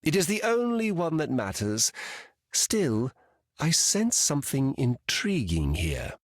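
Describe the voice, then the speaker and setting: A man's voice: medium pitch, wildly swooping pitch, takes it slowly, no echo, clean studio sound, heavily acted. An actor playing an arrogant, ancient male trickster god in a video game, scornful and theatrical.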